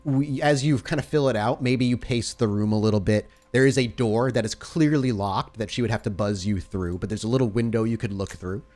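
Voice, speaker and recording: A man's voice: lowish voice, brisk, hardly any pauses, a little mellow. A man improvising narration as game master of a tabletop game, describing each scene in detail.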